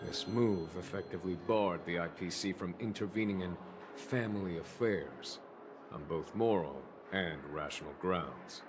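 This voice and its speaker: A man voicing a composed, genial and diplomatic general in a video game, measured and courteous. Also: low-pitched. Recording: music under the voice.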